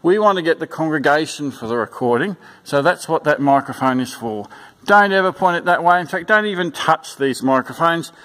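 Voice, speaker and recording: A man giving an informal, unscripted instructional walkthrough in a plain-spoken, halting way, repeating his instructions. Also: on the high side, lively intonation, a bit bright. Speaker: church sound system instructor. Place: indoors in a church.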